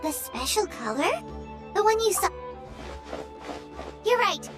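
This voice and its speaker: A woman voicing a tiny, cheeky, childlike fairy companion in an anime-style video game, with a squeaky voice. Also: very animated, slow, music in the background, heavily acted. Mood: puzzled.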